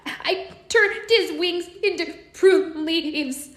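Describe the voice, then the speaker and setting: A woman voicing a tiny, lonely female fairy who talks in a high, tearful, sobbing voice. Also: measured pace, bright, forceful tone, reverberant, exaggerated voice.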